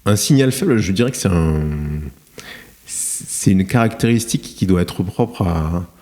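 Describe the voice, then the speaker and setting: Middle-aged man, deep and warm, halting and reflective interview guest. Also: lively intonation. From France. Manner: hesitant, many fillers and restarts. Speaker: guest, cement plant director. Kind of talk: podcast interview, reflective storytelling.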